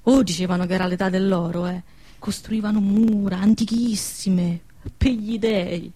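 A woman's voice: mid-range voice, steady tone, normal speed, warm tone, no echo, lightly acted. An actress playing an anguished, protective peasant woman amid war ruins in a radio play, plaintive and insistent, with a central-southern Italian dialect. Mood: wistful storytelling. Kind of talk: emotional radio theatre acting in dialect.

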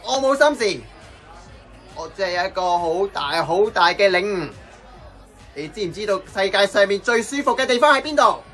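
A man plays a brash, tipsy young man in a radio drama, speaking Hong Kong Cantonese. His voice is bright and very animated, loud and theatrical as he rambles, with music behind. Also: high-pitched, normal speed, some chatter behind. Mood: tipsy, boisterous. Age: young adult.

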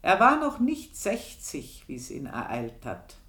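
Radio play role: unforgiving old housekeeper, southern German or Austrian accent, deep female register, deliberate and intense, echoing room. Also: huge pitch swings, fairly bright tone, full character voice. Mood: bitter, solemn. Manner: deliberate, intense, drops into whispers. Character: bitter, vengeful old housekeeper, pious and unforgiving. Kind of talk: slow, literary radio drama monologue.

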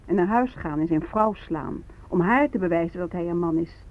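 Earnest young man, high voice, solemn, melancholy, radio drama, muffled sound. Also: expressive, moderate pace. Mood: bitter. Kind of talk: Dutch radio play acting. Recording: dull, lo-fi radio recording.

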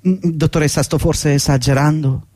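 A man's voice: fairly high pitch, fairly even intonation, normal speed, darkish tone, no room sound, clean studio sound, natural voice. An actor playing a middle-aged, servile and agitated long-time employee pleading with his boss in a fretful, rambling radio drama performance. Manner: fretful, deferential, rambling and insistent. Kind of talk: theatrical radio drama acting.

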